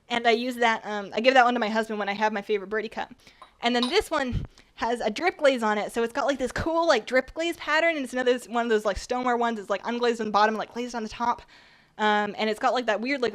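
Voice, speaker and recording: Young woman, slightly high voice, chatty and rambling, getting over a cold, home kitchen. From British Columbia, Canada. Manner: chatty, rambling, reads chat aloud, laughs often. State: recovering from a cold. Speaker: streamer. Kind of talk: casual chat while baking on a livestream.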